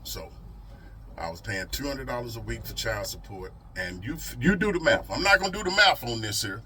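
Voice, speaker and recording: A man's voice: mid-range voice, animated, fairly quick, pushed and bright, far from the mic, a little hiss or hum. A middle-aged man talks casually to his vlog audience in a folksy, emphatic way, repeating phrases for effect. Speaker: truck driver vlogger.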